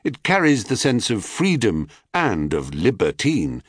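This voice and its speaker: A man reading a scripted myth narration in an expressive, theatrical way, with wry, dry asides. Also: on the low side, a little slow, husky, no echo. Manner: wry, dryly witty asides. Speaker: audiobook narrator.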